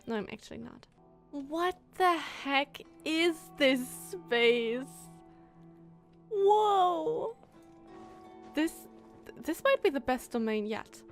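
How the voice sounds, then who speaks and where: A woman streaming a game in a high, unhurried voice. She comments casually and gushes expressively, drawing out words for emphasis while game music plays underneath. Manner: gushing, expressive, drawn-out emphasis. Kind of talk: casual live gameplay commentary.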